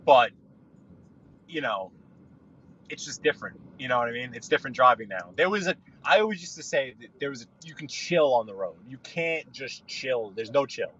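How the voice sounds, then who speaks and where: A man tells stories from behind the wheel, circling back and restarting his sentences as he goes. His voice is bright and forceful. The audio is dull and lo-fi, like a livestream feed. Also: medium pitch, lively intonation, moderate pace, slightly rough. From New York. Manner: rambling, storytelling, frequent restarts. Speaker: livestream guest. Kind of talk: casual live podcast chat while driving. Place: in a car.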